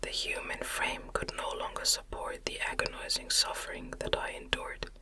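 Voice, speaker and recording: A woman reading a classic novel aloud in a gentle, soothing, breathy ASMR whisper with careful, unhurried phrasing. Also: very high-pitched, low-quality recording.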